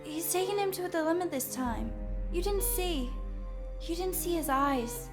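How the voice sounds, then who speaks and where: Actress as distraught young woman, very high voice, halting, pleading, anguished, radio drama, music behind. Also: lively intonation.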